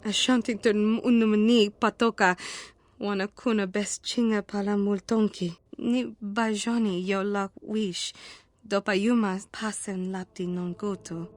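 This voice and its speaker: A woman's voice: medium pitch, fairly even intonation, a little slow, no room sound, natural voice. A woman playing an intense fallen Jedi in a video game, speaking lines in an invented alien tongue.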